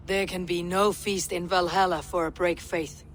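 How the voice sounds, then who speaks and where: A woman's voice: fairly low pitch, little pitch movement, normal speed, a little hiss or hum, close to an everyday voice. A woman playing a stern, blunt Viking warrior in a video game, questioning in a terse, commanding way. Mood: solemn, resolute. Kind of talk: video game cutscene acting.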